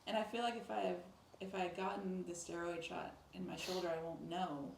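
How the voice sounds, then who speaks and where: Fast, creaky low-voiced woman chatting casually. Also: steady tone, noisy background. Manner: agreeable, informal. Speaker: physical therapy patient. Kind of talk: casual conversation.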